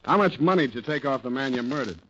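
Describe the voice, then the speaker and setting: An actor playing a cold, sarcastic police detective grilling a suspect, in 1940s radio drama style. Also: male voice, medium pitch, animated, quick pace, fairly bright tone, a little hiss or hum, natural voice. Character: hard-nosed sarcastic male police detective. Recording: dull old radio recording.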